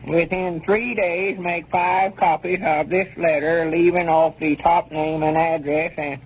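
A man playing a crotchety, folksy old country grandpa with a rural Southern accent and a creaky voice in a 1930s radio comedy serial. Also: on the high side, flat delivery, unhurried. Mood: curious, puzzled. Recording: dull, noisy old 1930s radio recording.

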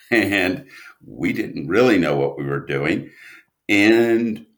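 A man with a very low voice talks through his work in a reflective interview. His delivery is slow and stop-and-start, yet the intonation moves widely, with drawn-out stresses on key words. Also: animated, a bit bright. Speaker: guest writer and producer of audio drama.

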